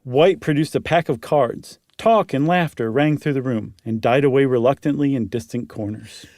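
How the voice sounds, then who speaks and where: A man's voice: mid-range voice, normal speed, darkish tone, no echo, clean studio sound. A man, a podcast co-host, narrating a story dramatically with casual asides.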